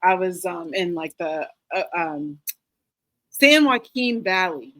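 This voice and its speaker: A woman's voice: on the low side, lively intonation, slow pace, a bit bright. A woman with an American accent talking casually as an interview guest, informal and easygoing as she reminisces. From United States. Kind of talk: casual chat, personal recollection.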